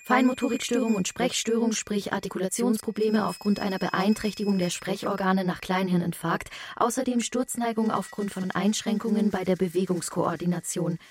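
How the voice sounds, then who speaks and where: Woman playing brisk hospital doctor in a radio play, formal n clinical, average pitch, insistent. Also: darkish tone, a little hiss or hum, natural voice. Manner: clinical, articulate, firmly insistent. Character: brisk, matter-of-fact female hospital doctor. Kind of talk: formal dramatic dialogue.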